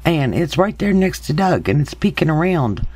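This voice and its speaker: A man narrating over a series of photos as a paranormal investigator, in a slow, halting way with pauses and restarts. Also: fairly high pitch, very animated, a little mellow, breathy edge, no echo.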